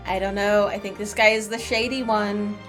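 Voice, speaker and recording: A woman's voice: medium pitch, flat delivery, normal speed, a bit bright, soft background music. A woman giving casual live gameplay commentary as a streamer, with playful, sceptical asides. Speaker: game streamer.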